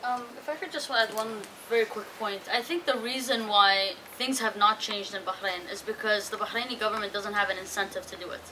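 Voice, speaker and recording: Firm young woman with a bright voice. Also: medium pitch, fairly quick, hardly any pauses, a little hiss or hum, poor audio. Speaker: activist panelist. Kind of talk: panel discussion remarks. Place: conference room. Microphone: table mic.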